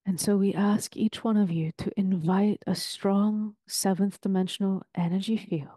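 A woman leading a guided meditation in a soothing, deliberate, ceremonial way, with long pauses between phrases. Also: medium pitch, fairly even intonation, mellow, no echo, studio quality.

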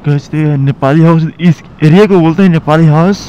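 Young motovlogger chatting while riding. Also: male voice, a little high, warm tone. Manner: chatty, halting, addresses viewers as guys. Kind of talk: casual narration while riding. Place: city streets outdoors on a motorbike. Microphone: helmet or bike-mounted action camera mic. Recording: muffled mic with traffic noise.

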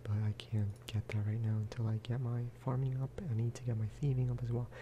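A man giving casual, rambling ASMR game commentary, speaking softly and often slipping into whispers. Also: mid-range voice, little pitch movement, quick pace, slight background noise. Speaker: gaming video host.

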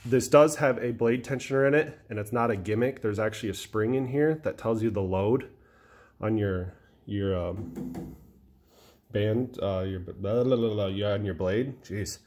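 American guy, kinda low voice, casual blunt tool review, unscripted, trips over his words a bit. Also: darkish tone. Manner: informal, blunt, halting with self-corrections. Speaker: welder-fabricator reviewing a tool. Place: indoor metalworking garage workshop.

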